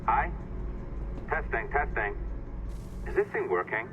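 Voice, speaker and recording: An actor playing an awkward, chummy IT technician in a video game, rambling hesitantly over a radio communicator. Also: male voice, mid-range voice, very animated, a little slow. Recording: muffled noisy radio communicator.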